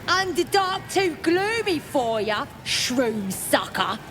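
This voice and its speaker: Rowdy tipsy goblin from a game, squeaky high, drawn out and swoopy, mocking everyone. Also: female voice, sing-song, takes it slowly, over music, heavily acted. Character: jeering, boozy female goblin reveller. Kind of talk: video game character acting.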